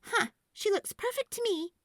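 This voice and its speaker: A woman's voice: very high voice, expressive, moderate pace, a bit bright, dry recording, studio quality, voice pushed up. A woman voicing an earnest, stubborn, devoted little girl in a storybook, with heartfelt, hesitant phrasing. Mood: indignant. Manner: hesitant ums and huhs, heartfelt.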